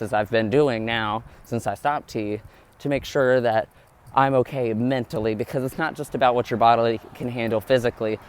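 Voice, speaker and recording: Male vlogger, mid-pitched, candid and earnest, talking straight to camera outdoors with light traffic noise. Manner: earnest, open, addresses viewers directly. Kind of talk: candid vlog monologue to viewers.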